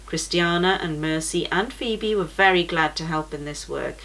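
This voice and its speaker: A woman reading a children's story aloud for a church program, in a gentle, measured storytelling manner. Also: on the low side, low-quality recording.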